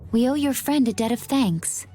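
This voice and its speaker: An actress voicing a composed young woman in a game cutscene, speaking calmly and evenly. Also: a little high, moderate pace, faint music behind, close to an everyday voice. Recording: game audio with clear noise.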